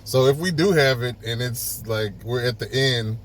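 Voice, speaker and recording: A man's voice: medium pitch, normal speed, a little hiss or hum. A man chatting casually as a companion in a vlog. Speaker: vlogger's companion. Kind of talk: casual chat. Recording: music playing over the voice.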